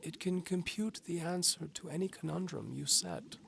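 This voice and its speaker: A man's voice: high-pitched, moderate pace, creaky, a bit bright, a little hiss or hum, pitched above the performer's own voice, exaggerated voice. A man voicing a pompous, snappish old duke and alchemist in an audio drama. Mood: lecturing. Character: stern, haughty old duke and alchemist, male.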